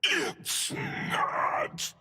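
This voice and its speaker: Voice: pushed and bright, full character voice. An actor in a video game voicing an uncanny scarecrow demon that mimics the cries of terrified victims in a distorted, harsh voice. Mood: panicked. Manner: drawn-out, eerie, uncanny mimicry.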